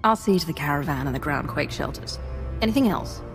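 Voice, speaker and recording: A woman's voice: deep voice, lively intonation, fairly quick. A woman playing a cold, ruthless Sith commander in a video game, speaking imperiously and with threat. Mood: commanding. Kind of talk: dramatic video game dialogue. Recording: game music behind the voice.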